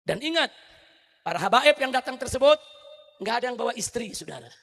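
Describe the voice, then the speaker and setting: A man from Indonesia delivers a heated sermon in a very high, bright and forceful voice. He keeps asking the crowd questions and addressing them directly. His delivery lurches from hushed, low lines to full shouting. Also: lively intonation, brisk, creaky. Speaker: preacher. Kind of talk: fiery religious sermon.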